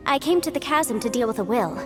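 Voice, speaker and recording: A woman's voice: a little high, fairly quick, lightly acted. A woman voicing a cheerful, articulate young legal advisor in an anime-style game, polite and a little sly. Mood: chatty. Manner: chatty, expressive, articulate. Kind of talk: anime game cutscene voice acting. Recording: music behind the voice.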